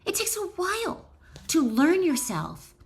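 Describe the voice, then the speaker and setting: A woman's voice: extremely high pitch, huge pitch swings, measured pace, vocal fry. Middle-aged woman reading aloud, encouraging. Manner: encouraging, direct address to listener. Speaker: author and wellness educator. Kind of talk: reading own book aloud with chatty asides.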